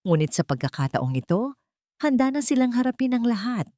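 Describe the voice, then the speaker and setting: A woman narrates a scripted dramatic story in a measured, earnest manner. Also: fairly high pitch, very animated, warm tone, no echo, clean studio sound.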